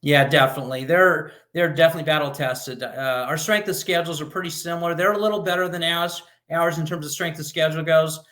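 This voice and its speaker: Male sports guest, higher-pitched and forceful, measured and analytical in casual football conversation. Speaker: guest, local sports network game broadcaster.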